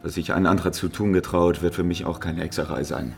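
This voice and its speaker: Ein Schauspieler spielt in einem Hörspiel der 1950er einen abgebrühten, geschäftsmäßigen Auftragsmörder, wortkarg und verschlagen. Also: male voice, low voice, steady tone, fast talker, creaky. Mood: businesslike. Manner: laconic, sly, matter-of-fact. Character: callous, businesslike male hired killer, rough bandit. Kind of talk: 1950s German radio drama acting.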